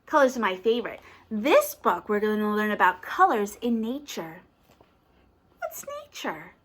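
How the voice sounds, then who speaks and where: Female toddler storyteller: unhurried, highly animated, questioning delivery, with some background noise. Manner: sing-song encouraging questions to young children. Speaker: children's teacher reading storybook aloud. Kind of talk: interactive picture-book read-aloud for toddlers.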